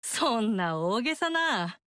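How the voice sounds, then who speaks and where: A brash, motherly warrior woman descended from a fire goddess, bossing and teasing with big-sister swagger. Her pitch sits fairly high and swings widely. The game studio recording is dry and close. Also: big pitch swings, slow, a bit bright, clean tone, no echo, exaggerated voice.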